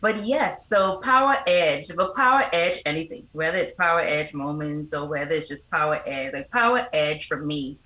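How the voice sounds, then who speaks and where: A woman's voice: deep voice, animated, moderate pace, hardly any pauses, sharp tone. A middle-aged woman, giving earnest, explanatory answers as an interview guest. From the Bahamas. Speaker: interview guest, foundation representative and motivational speaker. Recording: roomy, echoing sound.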